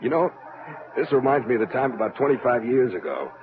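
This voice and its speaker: A man's voice: medium pitch, big pitch swings, fairly quick, narrow, tinny audio, lightly acted. Man playing a brash space pilot on vintage radio. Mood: jocular. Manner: casual, folksy, blunt. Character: brash, plain-spoken military spaceship pilot, a major. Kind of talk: old-time radio drama acting.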